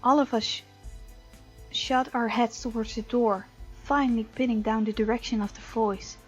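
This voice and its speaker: A woman hosting a let's play, reading a visual novel aloud as story narration with playful, expressive asides. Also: a little high, normal speed, slightly rough. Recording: dull, lo-fi sound with music behind.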